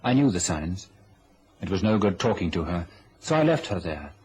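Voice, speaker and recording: An actor playing a wary, questioning young man in an old radio drama, speaking in a restrained, measured manner. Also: low voice, very animated, a little mellow, slight background noise. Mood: reflective narration. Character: wary, questioning grown son of a domineering mother. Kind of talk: old-time radio drama acting and narration.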